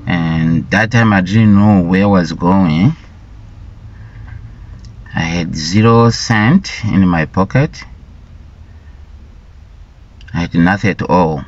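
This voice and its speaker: A man from Rwanda speaks slowly in a very low voice, telling his personal story with halting, deliberate phrasing and repeated words. The recording sounds dull and lo-fi. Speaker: vlogger sharing his life story.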